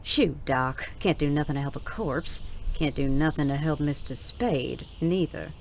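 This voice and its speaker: A woman's voice: low voice, a little slow, slight background noise. A woman playing a blunt, folksy deputy in a Western audio drama. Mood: urgent, grim.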